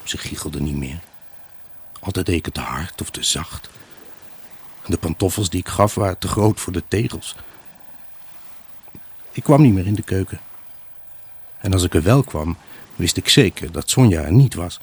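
A brooding, troubled husband in a radio drama. His voice is low and husky, with a rough grain. He recounts his unhappy marriage as an intimate dramatic monologue. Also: lively intonation, normal speed, long pauses, darkish tone, exaggerated voice. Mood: brooding, subdued. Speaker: actor narrating a radio drama. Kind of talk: dramatic first-person monologue in a Dutch radio play.

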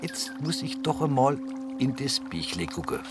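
A man's voice: medium pitch, huge pitch swings, moderate pace, loud music behind the voice. An actor playing an old, folksy, teasing Swabian man in a radio drama. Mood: folksy amusement. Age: older. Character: old Swabian-speaking man in Georgia, chatty and chuckling.

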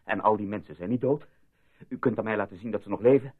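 An actor playing a distraught, sarcastic and accusing male patient in a radio drama, with a husky voice. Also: fairly low pitch, fast talker. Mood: defiant, incredulous. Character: distraught, defiant male patient who suspects a cover-up. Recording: muffled lo-fi old radio recording.

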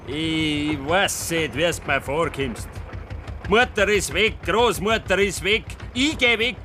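A man's voice: a little high, slow pace, bright, forceful tone, a little hiss or hum. An actor playing a defiant young Bavarian farmer's son who stands up to his father in a 1970s radio play, blunt and curt, with a Bavarian accent. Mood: reproachful. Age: young adult. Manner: blunt, defiant, short replies.

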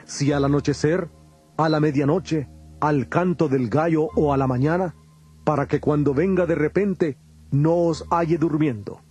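A grave male prophet teacher, bit high voice, measured and commanding, faint music behind, bible audio drama. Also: darkish tone, breathy edge. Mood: solemn warning. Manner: solemn, measured, declarative. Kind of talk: dramatized scripture audio acting.

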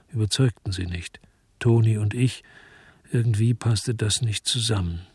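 A disgusted, world-weary investigator telling his story in a radio drama. The voice is lowish and heavily husky, recorded close and very dry. The delivery is brooding and terse. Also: a little mellow, no echo. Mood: sober, terse. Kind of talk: German radio drama, first-person narration.

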